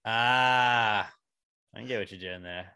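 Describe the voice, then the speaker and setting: A man's voice: fairly low pitch, slow pace, smooth. A man, hosting a casual podcast chat and joking around. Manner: joking, animated, laughs often. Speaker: podcast host.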